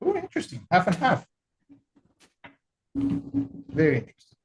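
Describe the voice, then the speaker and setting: A man from El Salvador giving a relaxed, conversational technical webinar with frequent fillers. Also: sits high, unhurried, echoey room. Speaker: webinar presenter.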